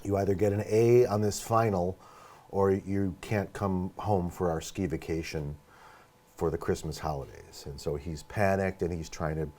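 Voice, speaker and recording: A man speaks as an interview guest with a low-pitched voice. He tells things conversationally, in a halting storytelling manner. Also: fairly even intonation, dark tone. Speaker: film professor and director, interview guest.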